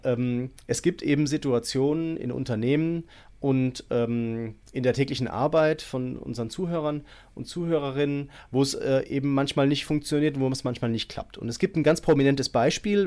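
Podcast co-host, man, mid-pitched, chatty explainer with plenty of hesitations. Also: darkish tone. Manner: explanatory, many fillers. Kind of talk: casual podcast chat.

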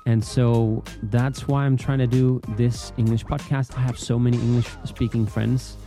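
A man's voice: medium pitch, flat delivery, normal speed, dark tone, soft background music. A man with a Danish accent that leans American, casually hosting a solo podcast in an informal, playful, rambling way. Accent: Danish with American leanings. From Denmark. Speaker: podcast host.

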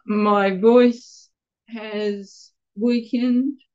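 A woman, a guest on an interview, speaking in a quiet, gravelly voice and stumbling a little over her words. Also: on the high side, steady tone, extremely slow, lo-fi sound. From Australia. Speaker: guest with Parkinson's. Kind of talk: casual interview answers.

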